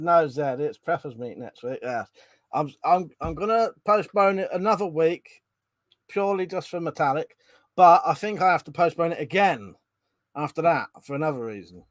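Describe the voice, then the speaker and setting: A man with a stuffy, cold-congested voice, pitched a little higher than most men's. He chats loosely with viewers, restarting sentences and filling gaps with ums. The sound is dry and close, with no room around it. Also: fairly bright tone, no room sound. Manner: chatty, rambling, frequent ums and restarts. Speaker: live stream host. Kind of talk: casual live chat reading out viewer comments.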